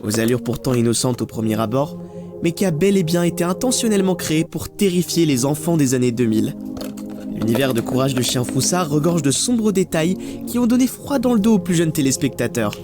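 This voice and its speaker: Guy narrating a scripted doc, dramatic storyteller, articulate, voice a bit high, light music under it. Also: expressive, brisk. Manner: articulate, dramatic, addresses the audience with questions. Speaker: narrator of a video documentary series.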